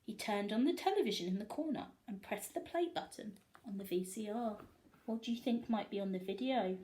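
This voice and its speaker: A woman reading a storybook aloud to young children in an expressive storyteller style. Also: mid-range voice, brisk.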